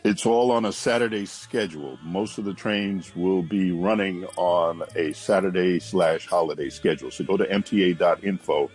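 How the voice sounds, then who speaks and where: A man hosting a morning radio show and reading announcements in a steady announcer's manner. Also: deep voice, normal speed, hardly any pauses, faint music behind. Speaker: radio host. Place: radio studio.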